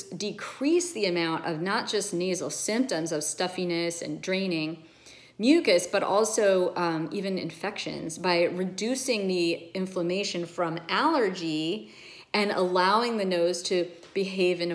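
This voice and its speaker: A middle-aged woman doctor explaining nose and sinus care to a phone camera at home, earnest and emphatic. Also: lowish voice. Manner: earnest, emphatic, gestures with her hands. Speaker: ENT doctor. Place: home room with bookshelves, indoors. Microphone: phone camera at arm's length.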